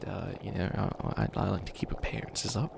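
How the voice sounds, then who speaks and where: A man's voice: low voice, little pitch movement, brisk. A man voicing a flustered, youthful bunny witch who wants to be evil, stammering and rambling defensively in a comedic ASMR roleplay. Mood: fussy, rambling. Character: flustered 853-year-old youthful bunny witch, harmlessly wannabe evil. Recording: clearly noisy ambience behind voice.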